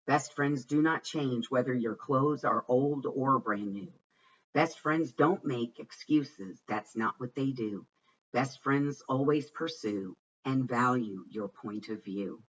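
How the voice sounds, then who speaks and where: A man giving an expressive read-aloud of a rhyming children's picture book, with deliberate, dramatic phrasing. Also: mid-range voice, slightly rough. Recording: muffled, distant microphone.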